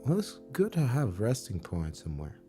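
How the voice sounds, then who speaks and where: A man whose first language is German, teaching piano on a livestream, relaxed and pausing to search for words. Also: fairly low pitch. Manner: relaxed, searching for words, sings and counts along. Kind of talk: livestream music lesson. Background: piano playing.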